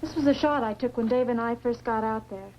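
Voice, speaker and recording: A woman's voice: a little high, a little fast, a little mellow, natural voice. An actress playing a businesslike female photographer on a research team, in 1950s science fiction film style. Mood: intent. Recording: dull, noisy old film soundtrack.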